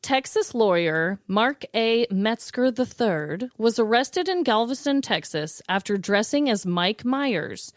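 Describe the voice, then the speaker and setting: A woman podcast host chatting casually and running a game in an animated way. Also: on the high side, slow pace, few pauses, dry recording, clean studio sound. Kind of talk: casual banter and game hosting.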